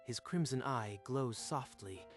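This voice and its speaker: A man's voice: medium pitch, unhurried. A man giving scripted, dramatic narration for a manga dub. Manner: dramatic storytelling. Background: faint music.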